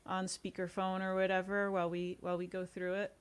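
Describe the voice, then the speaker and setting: A woman's voice: mid-range voice, monotone, brisk, clear, resonant tone. A woman talking casually as an interview guest, chatty and informal. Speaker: podcast guest, audio series co-creator.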